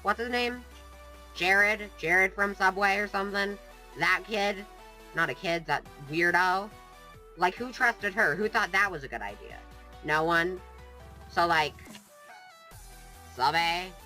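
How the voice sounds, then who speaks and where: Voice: mid-range voice, slow pace, long pauses, pushed and bright, soft background music. A gaming vlogger with a cold, sniffling while joking and rambling off topic in playful, sarcastic casual chat. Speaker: gaming YouTuber.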